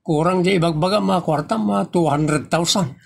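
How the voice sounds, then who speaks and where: A man with a Filipino accent playing an evasive, flustered husband in an audio comedy drama, with a husky, gravelly voice. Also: on the high side, normal speed.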